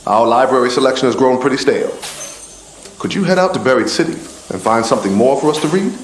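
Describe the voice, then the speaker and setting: A man's voice: medium pitch, animated, quick pace, roomy sound, a little hiss or hum, close to an everyday voice, poor audio. An actor playing an affable, bookish man in a video game, easygoing and friendly as he hands out errands. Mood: friendly, hopeful. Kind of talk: scripted video game dialogue.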